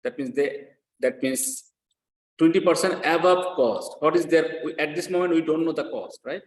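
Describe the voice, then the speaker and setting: A man's voice: fairly high pitch, moderate pace. A man lecturing in accounting step by step as a non-native English speaker, repeating phrases and often checking that students follow. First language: not English. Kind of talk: classroom lecture, explaining step by step. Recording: echoey room sound.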